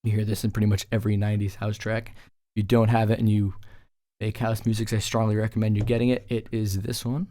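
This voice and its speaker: A man's voice: lowish voice, little pitch movement, fast, dark tone, a little hiss or hum. A man talking casually as a music producer, explaining his work in an informal tutorial voice-over. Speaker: music producer presenting a track breakdown.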